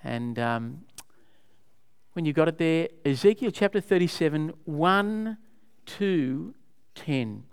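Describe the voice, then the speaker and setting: A man's voice: on the high side, expressive, slow pace, vocal fry, clean tone. A man leading a Bible study, explaining scripture to a group in a conversational way and asking them questions. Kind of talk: interactive Bible teaching.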